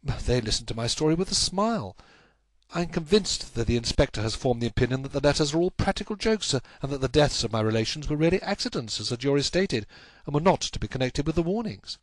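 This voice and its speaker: A man voicing an anxious, helpless young gentleman in a storybook, recounting his troubles in an earnest, measured, formal way. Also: medium pitch, lively intonation, no room sound, voice pushed up, lightly acted. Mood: resigned. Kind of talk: audiobook character acting.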